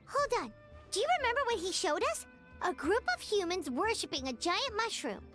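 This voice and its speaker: A woman voicing an excitable, quippy young female companion in a game. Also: extremely high pitch, moderate pace, soft background music, exaggerated voice. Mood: musing. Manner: animated, quippy.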